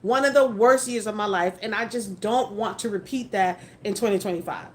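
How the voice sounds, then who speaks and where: A woman's voice: mid-range voice, fairly quick, a little hiss or hum. A woman in her forties hosting a casual livestream, talking frankly and emphatically while answering viewer comments. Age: 40s. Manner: frank, mildly profane, emphatic. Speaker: livestream host.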